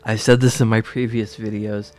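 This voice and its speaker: A man's voice: fairly low pitch, little pitch movement, normal speed, a little mellow. Stuffy man with a slightly scratchy voice. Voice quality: slightly scratchy, rough throat. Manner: rambling, with frequent fillers and restarts. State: stuffy and congested from allergies. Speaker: tarot reader. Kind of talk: casual spiritual talk to camera.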